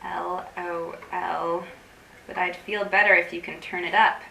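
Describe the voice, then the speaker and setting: A roomy, slightly distant recording with faint noise carries an actress playing an anxious, intense woman. Her voice is bright and forceful, and she confides in long, dramatic, wordy lines, as in a staged reading of a play. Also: on the low side, level tone, a little slow, vocal fry, exaggerated voice. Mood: needling, coaxing. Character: anxious, intense woman craving digital disconnection. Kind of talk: theatrical staged reading, monologue-like dialogue. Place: room at a live staged reading.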